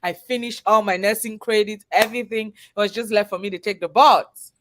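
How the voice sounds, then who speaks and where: A woman's voice: mid-range voice, fairly even intonation, a little fast, a bit bright, a little hiss or hum. Interview guest: woman, Cameroonian accent, earnest conversational storyteller, mid-range voice. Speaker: guest, chef and business owner. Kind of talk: personal storytelling in a live interview.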